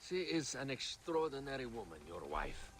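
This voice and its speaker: Shrewd court power broker, formal diction, authoritative, medium pitch, historical game drama, prominent musical backing. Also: male voice, big pitch swings, moderate pace, a bit bright. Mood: grave, confiding. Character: shrewd, stately male political ally of a queen. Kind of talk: cinematic historical game acting. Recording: game audio under clear background music.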